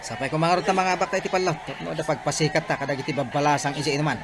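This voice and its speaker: Man as a fast-talking Ilocano buddy. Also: on the high side, steady tone, close to an everyday voice. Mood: chummy, excited. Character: easygoing gambling buddy egging his friend on. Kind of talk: Ilocano audio drama acting. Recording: clear background noise.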